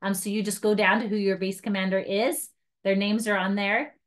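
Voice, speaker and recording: A woman's voice: mid-range voice, level tone, moderate pace. A woman with a mid-range voice gives an informal training walkthrough. She explains each step in a casual, chatty manner, like a trainer talking to her guides, and drops in light self-mocking asides. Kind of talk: informal hands-on device tutorial.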